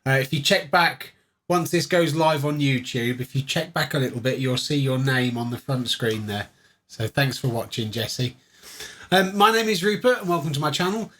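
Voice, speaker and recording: A man's voice: medium pitch, normal speed, a bit bright. A man hosting a livestream, talking casually and directly to his viewers. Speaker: host of a livestream channel.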